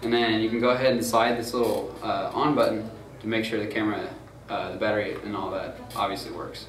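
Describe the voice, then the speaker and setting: A man's voice: on the low side, fairly even intonation, a little fast. Young man explaining gear in a roomy space. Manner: loose and informal, many fillers and "gonna go ahead". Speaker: student crew tutorial presenter. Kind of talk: casual how-to demonstration. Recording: roomy, far from mic, noisy.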